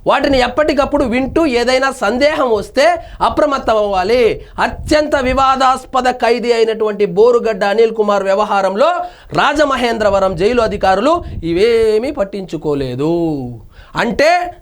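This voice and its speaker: A man's voice: very high-pitched, expressive, moderate pace. A man presents news analysis. He moves between reading reports and offering pointed commentary. His delivery is emphatic and indignant, leaning on rhetorical questions.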